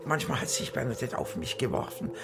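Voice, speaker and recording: An actor playing a frail, elderly widower in a radio play, eccentric and pleading, with a gravelly, halting voice. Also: male voice, medium pitch, very animated, over music. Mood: dreamy, lustful. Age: older. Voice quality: gravelly, creaky. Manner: halting, stammering, wordy. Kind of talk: radio play acting in German.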